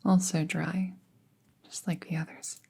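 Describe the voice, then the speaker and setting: A woman playing a friendly apprentice witch in a roleplay audio, speaking softly and breathily, often near a whisper, with an unhurried, casual murmur. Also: lowish voice, little pitch movement, creaky, breathy edge, exaggerated voice. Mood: focused, calm. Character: friendly young apprentice witch, soft-spoken and casually chatty. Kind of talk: roleplay audio drama, soft ASMR-like delivery.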